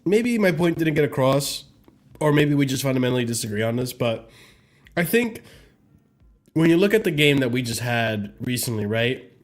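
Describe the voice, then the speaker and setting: A man's voice: medium pitch, very animated, normal speed, soft background music. A man in his thirties co-hosting a casual football chat, who weighs his points and corrects himself as he talks. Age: 30s. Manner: thoughtful, hedging, self-correcting. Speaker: podcast co-host.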